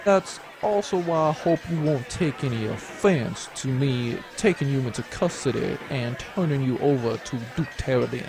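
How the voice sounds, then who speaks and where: A male actor plays a treacherous baron in an audio space adventure. He has a mid-range voice and speaks with pompous, mock-genial smugness and smooth menace. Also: mid-range voice, lively intonation, moderate pace, hardly any pauses, close to an everyday voice. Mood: gloating.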